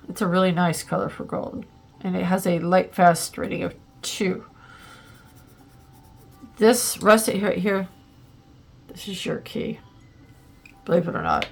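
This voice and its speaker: A woman's voice: on the low side, expressive, a little slow, vocal fry, pauses often, husky, soft background music. A woman hosting a casual art tutorial, a little congested and plugged up, chatting and thinking aloud as she works, with lots of ums and likes. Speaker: art channel host.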